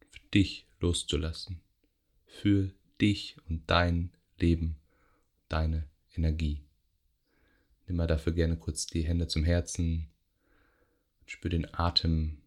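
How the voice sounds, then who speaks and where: Male meditation guide: low, even pitch, soft husky tone, gentle phrasing with pauses. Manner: gentle, unhurried, with long pauses between short phrases. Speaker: yoga nidra meditation guide. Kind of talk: guided meditation, soothing relaxation instruction.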